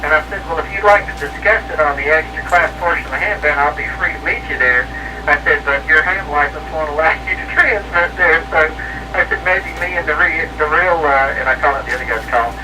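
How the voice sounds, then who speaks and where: A man's voice: a little high, fairly quick. A man talking casually and ramblingly as an amateur operator over a CB radio transmission. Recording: noisy CB radio, distant-sounding transmission.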